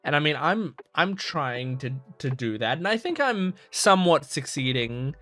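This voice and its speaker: A man's voice: a little high, sing-song, moderate pace, fairly bright tone. A man hosting an anime reaction video, talking casually and thoughtfully with lots of "like" fillers and restarts. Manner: rambling, heavy use of "like" fillers and restarts; earnest, thoughtful. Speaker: anime reaction channel host. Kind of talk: casual reflective commentary.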